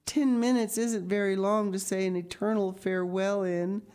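Woman voicing a high, melodramatic young girl. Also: a little slow, mellow, lightly acted. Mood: tearful. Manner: flowery, archaic, theatrical phrasing. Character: dramatic, romantic young orphan girl, earnest and tearful. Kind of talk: audiobook character reading.

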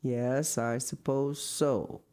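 Woman acting out a slow, hesitant, mild older man. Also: low voice, expressive, mellow. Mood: reluctant, hesitant. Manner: slow, halting, reluctant. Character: shy, mild older man, hesitant but gently stubborn. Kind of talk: storybook character reading.

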